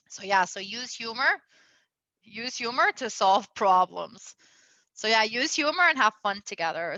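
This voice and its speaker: Woman, raised in Venezuela, relationship coach, bright tone, rambling fillers, slightly muffled sound. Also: a little high, no echo. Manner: chatty, lots of fillers, laughs often. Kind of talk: casual advice talk.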